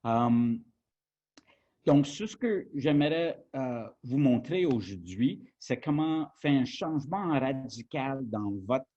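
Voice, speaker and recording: Anglophone man speaking French, halting. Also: mid-range voice, animated, mellow, dull audio. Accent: English-speaking Canadian accent in French. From Quebec, Canada. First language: English. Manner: hesitant, many fillers. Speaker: journalism trainer. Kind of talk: webinar presentation.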